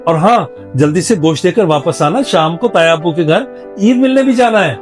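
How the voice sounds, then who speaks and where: A man's voice: sits high, expressive, faint music behind. A man playing a strict, principled middle-aged father in a moral-story drama, speaking in an emphatic, lecturing way. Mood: firm, instructing. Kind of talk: Urdu moral-story audio drama acting.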